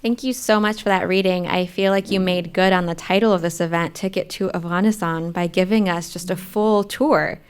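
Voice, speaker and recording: A woman's voice: lowish voice, steady tone, normal speed, few pauses, slight background noise. A woman hosting a live event, asking a guest questions politely into a studio mic on a small stage. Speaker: host. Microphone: studio mic on a stand.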